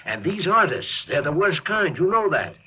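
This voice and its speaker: An American actor playing a meddling, suspicious small-town doctor with a fussy, insistent manner in a 1950s radio drama. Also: male voice, sits high, big pitch swings, normal speed, muffled recording. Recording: noisy, band-limited old radio recording.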